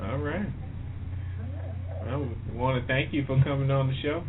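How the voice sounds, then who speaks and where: A man's voice: mid-range voice, steady tone, moderate pace. American male host on a narrow phone-like line. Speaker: radio show host. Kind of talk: casual interview chat. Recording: phone-like internet radio line, faint noise.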